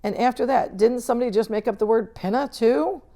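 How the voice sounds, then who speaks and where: A woman's voice: a little high, fairly quick, warm tone, voice pushed up, natural voice. A woman voicing an earnest, plucky fifth-grade boy in a storybook, informal and insistent as he stands his ground. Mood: defiant, sincere. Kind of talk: storybook read-aloud character dialogue.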